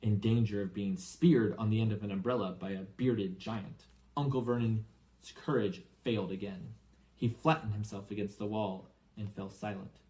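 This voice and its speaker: A man narrating a storybook as an expressive audiobook reader. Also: fairly low pitch, moderate pace, low-quality recording.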